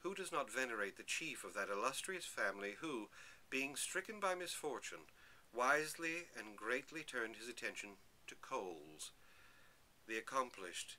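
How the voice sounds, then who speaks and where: A man's voice: medium pitch, animated, normal speed, sharp tone. A man giving a dramatic, emphatic reading of a Victorian literary lecture in an oratorical manner. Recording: hissy, noisy recording.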